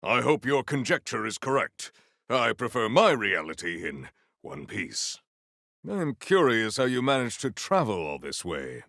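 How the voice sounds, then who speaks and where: A male actor voicing a proud, potent warrior in a video game, with formal, grandiose, deadpan delivery. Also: lowish voice, measured pace, gravelly, no echo, clean studio sound, heavily acted. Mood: stoic, formal. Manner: formal, stilted, grandiose diction. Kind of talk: scripted video game character lines.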